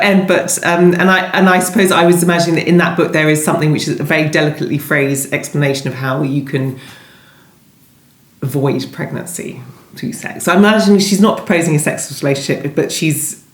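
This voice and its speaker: A woman novelist being interviewed, talking conversationally and thinking aloud, often hesitating and rephrasing. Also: deep voice. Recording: roomy, echoey sound.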